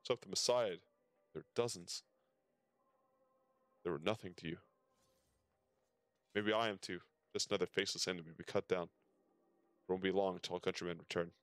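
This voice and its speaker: A man's voice: sits low, steady tone, normal speed, long pauses, no echo, lightly acted. A man reading out game dialogue as a bitter, vengeful wounded soldier. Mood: bitter, accusing.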